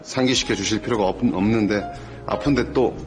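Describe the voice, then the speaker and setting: A man's voice: on the low side, brisk, music in the background, lightly acted. An actor playing an eager young man who boasts politely and formally that he never gets drunk.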